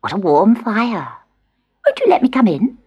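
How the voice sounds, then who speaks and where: A woman voicing a sly male hobgoblin who coaxes in a high, sing-song storybook voice. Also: huge pitch swings, moderate pace, darkish tone, husky, heavily acted. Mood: coaxing. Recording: muffled lo-fi recording.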